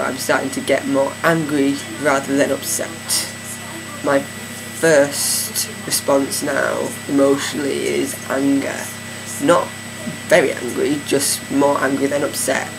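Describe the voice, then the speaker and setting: Gravelly-voiced man, hesitant video diary. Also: medium pitch. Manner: hesitant, many fillers and restarts. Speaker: vlogger. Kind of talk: casual video diary update. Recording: steady air conditioning hum.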